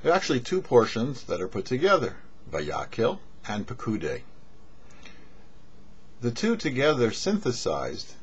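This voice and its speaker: Muffled lo-fi audio, man, rabbi host, slightly rough husky voice, measured religious teaching, deliberate pauses. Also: mid-range voice. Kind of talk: short religious teaching to camera. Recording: dull, lo-fi webcam-style audio.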